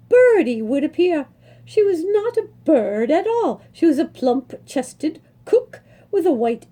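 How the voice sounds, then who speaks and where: A middle-aged woman, a children's librarian, reading a picture book aloud in an expressive storytelling manner. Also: sits high.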